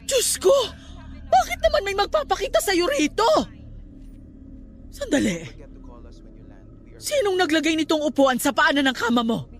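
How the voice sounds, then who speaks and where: Stern, superstitious aunt with swooping voice. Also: female voice, very high voice, sing-song, unhurried, pauses often, full character voice. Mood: alarmed. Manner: scolding, emphatic. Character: stern, superstitious, scolding middle-aged Filipino aunt. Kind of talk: radio drama acting.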